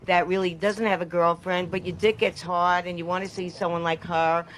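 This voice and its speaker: A woman's voice: lowish voice, level tone, normal speed, a little hiss or hum, low-quality recording. A woman asking an interviewee blunt, probing questions on a radio talk show.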